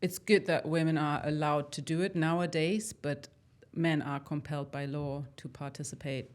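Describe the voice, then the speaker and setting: A woman biologist talking in a casual discussion, measured and hesitant, with many fillers. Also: deep voice, steady tone.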